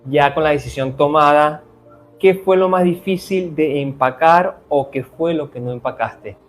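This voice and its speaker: A man's voice: fairly high pitch, measured pace, faint music behind. A man hosting an interview, attentive and conversational, prompting with short replies. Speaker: interview host.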